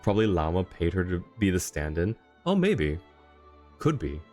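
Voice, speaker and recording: A man's voice: low-pitched, sing-song, moderate pace, a little mellow, faint music behind. A man giving casual livestream game commentary and chatting with viewers.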